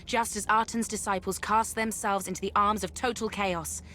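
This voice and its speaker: An actress playing a pompous, solemn eldest daughter, an immortal guardian of justice in a video game. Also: female voice, mid-range voice, fairly even intonation, normal speed, bright, forceful tone, slight background noise, close to an everyday voice. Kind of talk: video game character acting.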